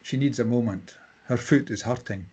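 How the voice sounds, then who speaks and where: A man narrates a screenplay, reading out scene headings and action. His voice sits at an average pitch and has a husky, unpolished grain. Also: moderate pace, dark tone, dull audio. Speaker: narrator reading stage directions.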